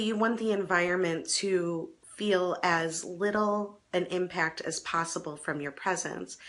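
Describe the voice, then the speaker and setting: Slightly hoarse woman giving chatty advice. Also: fairly low pitch, a bit bright. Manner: chatty, self-deprecating, with small laughs and restarts. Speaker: advice column host. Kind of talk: casual advice talk to camera.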